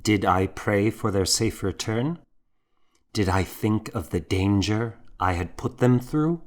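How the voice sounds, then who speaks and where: A man narrating a historical novel in the first person, with a measured, dramatic storytelling manner. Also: fairly low pitch. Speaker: audiobook narrator.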